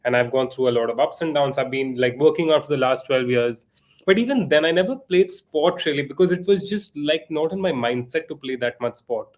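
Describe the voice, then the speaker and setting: A man's voice: fairly high pitch, animated, brisk. A man in his thirties hosting a podcast, asking casual, rambling questions full of fillers and self-deprecating asides. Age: 30s. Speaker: podcast host.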